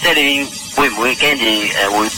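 A man's voice: medium pitch, brisk. A man with a Hong Kong Cantonese accent, asking questions in a casual, hesitant, teasing way as a radio caller. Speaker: phone-in caller. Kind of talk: casual chat. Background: loud music.

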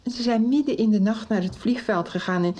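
An actress playing a shaken, insistent middle-aged mother, agitated and halting, in a radio soap. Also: on the high side, mellow, close to an everyday voice. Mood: shaken, anxious. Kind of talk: Dutch radio soap acting.

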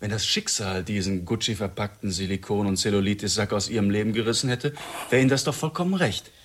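A man's voice: on the low side, fast, slight background noise, lightly acted. A man playing a menacing, mocking psychopathic killer in a radio drama, with a taunting, sardonic delivery. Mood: gleeful cruelty.

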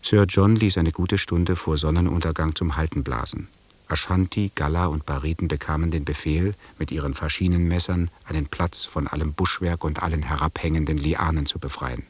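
An actor playing a matter-of-fact expedition chronicler who narrates a German radio drama in a measured, factual way. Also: male voice, a bass voice, steady tone, breathy edge.

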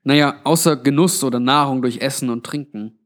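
A man's voice: medium pitch, a little fast, close to an everyday voice. A man voicing a weary, blunt old undead man with a grave, resigned manner in a narrated story. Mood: grave recollection.

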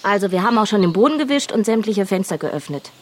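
An actress as an earnest, soft-hearted woman. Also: mid-range voice, animated, quick pace, close to an everyday voice. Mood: earnest, placating. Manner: earnest, placating. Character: soft-hearted, well-meaning woman defending a stranger. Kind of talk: naturalistic radio drama acting. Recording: faint background ambience noise.

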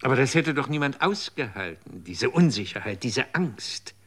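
A man's voice: mid-range voice, very animated, normal speed, a bit bright, breathy edge. An actor in a radio drama playing a man who asks probing, incredulous questions. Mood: horrified.

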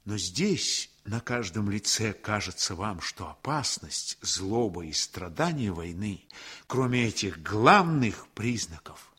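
A man's voice: fairly low pitch, pushed and bright, slightly rough, heavily acted. A man narrating a war story in a radio play, solemn, reflective and emphatic, with a declamatory manner.

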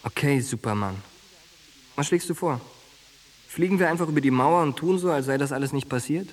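Ein Schauspieler in einem Hörspiel spielt einen derben, eindringlichen jungen Soldaten und treuen Freund mit genervtem Temperament. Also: male voice, on the high side, brisk, natural voice. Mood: resigned. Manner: blunt, coarse, insistent. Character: streetwise, exasperated young soldier, loyal friend. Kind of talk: naturalistic German radio drama acting.

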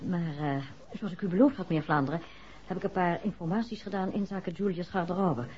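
An actress playing a polite, hurried society lady in a 1960s radio drama. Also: on the low side, darkish tone, lightly acted. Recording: dull, faintly noisy old radio recording.

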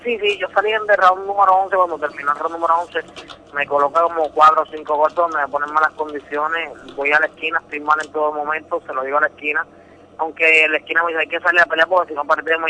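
Earnest Puerto Rican man, bright voice. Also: fairly high pitch, fairly even intonation, quick pace, slight background noise, low-quality recording. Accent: Puerto Rican Spanish. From Puerto Rico. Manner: earnest, repeats words, emphatic retelling. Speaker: interviewed boxing champion. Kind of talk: phone interview on radio.

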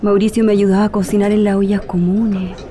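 A woman's voice: mid-range voice, level tone, fairly quick, a crowd in the background, lightly acted. An actress playing a tender, nostalgic middle-aged Chilean mother back from exile, reminiscing in a radio drama. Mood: fond, nostalgic.

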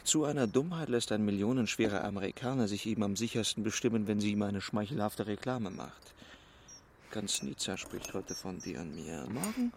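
An actor playing a cynical, scheming swindler in a radio drama, speaking in a declarative, sardonic, coolly philosophical way. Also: male voice, fairly low pitch, expressive, moderate pace, creaky, a little hiss or hum. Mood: calculating.

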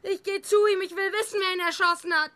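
High, distraught, vengeful girl. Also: steady tone, fast, pushed and bright. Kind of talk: German radio crime drama acting.

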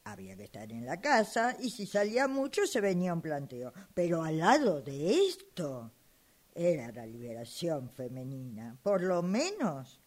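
A woman playing an exasperated, insistent elderly widow in an amateur radio drama, complaining in a flustered, dramatic way. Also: on the low side. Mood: weary, indignant. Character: exasperated elderly widow fed up with her phone.

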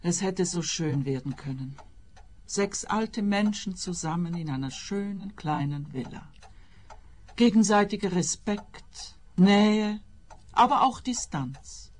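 A woman's voice: mid-range voice, lively intonation, slow pace, darkish tone. An actress playing a paranoid, self-righteous old woman in a radio play, declaiming her lines theatrically. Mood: wistful, bitter. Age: older. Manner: declamatory, melodramatic.

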